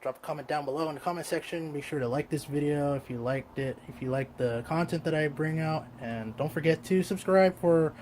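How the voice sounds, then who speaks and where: A man's voice: on the high side, brisk, few pauses, a little mellow, slight background noise. A man giving casual, off-the-cuff commentary as the host of a hobby video.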